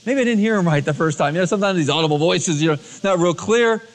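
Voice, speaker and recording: Male pastor, high voice, storytelling sermon, colloquial acted-out dialogue, cassette recording with long hall reverb. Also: fast talker. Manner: dramatizes dialogue in everyday colloquial words.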